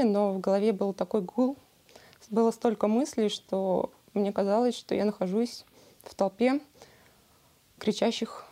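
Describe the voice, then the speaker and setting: A woman in her thirties speaks with a warm, mellow voice of middle pitch. She hesitates often, with many filler sounds, as she tells the group about her own retreat. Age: 30s. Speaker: retreat participant. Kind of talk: personal testimony.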